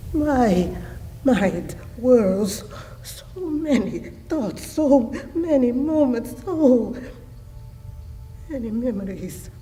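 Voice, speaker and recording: Woman doing a grieving mother in a stage monologue, solemn n emotional, super slow with long breathy pauses, mellow voice. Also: on the high side, a little hiss or hum, low-quality recording. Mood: impassioned longing.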